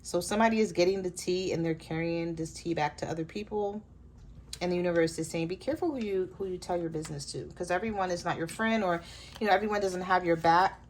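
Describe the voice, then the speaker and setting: A woman with a hoarse voice giving a chatty tarot reading straight to her viewers. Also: on the low side, fast, slight background noise. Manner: relaxed, chatty, addresses viewers directly. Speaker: tarot reader.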